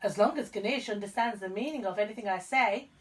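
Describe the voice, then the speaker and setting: A woman's voice: medium pitch, fast, distant mic, close to an everyday voice. A woman voicing an old male poet, courteous, earnest and emphatic, in a children's storybook reading. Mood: measured.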